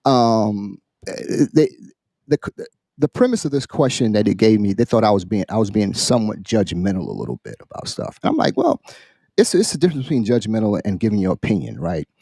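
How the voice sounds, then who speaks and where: A man hosting a casual podcast chat, halting and repeating phrases as he builds up his questions in an animated way. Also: lowish voice, vocal fry, no echo, clean studio sound. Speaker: podcast host posing a question.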